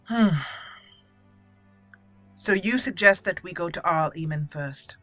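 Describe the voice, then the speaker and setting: A woman hosting a role-play game playthrough, speaking deliberately and theatrically in character, with casual asides. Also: lowish voice, a bit bright, dry recording, soft background music. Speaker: gaming channel host.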